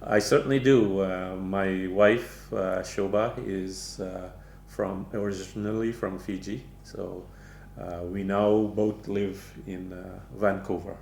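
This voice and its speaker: Slow, gravelly-voiced older man. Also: low-pitched, steady tone, darkish tone, reverberant, slight background noise. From Guyana. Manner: slow, measured, halting with many fillers. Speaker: guest. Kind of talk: interview conversation.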